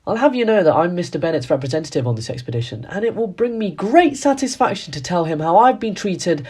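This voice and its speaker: A woman's voice: fairly low pitch, sing-song, fast, darkish tone, breathy edge. A woman playing a defiant, insolent male crewman in a radio play. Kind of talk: student radio play acting.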